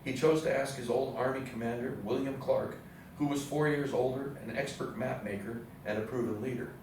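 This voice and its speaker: A man's voice: medium pitch, steady tone, fairly quick. A man delivering scripted historical narration as the narrator of a live stage reading. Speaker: narrator in a readers theatre play. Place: live readers theatre performance in an echoey indoor space. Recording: very echoey room, distant mic, faint noise.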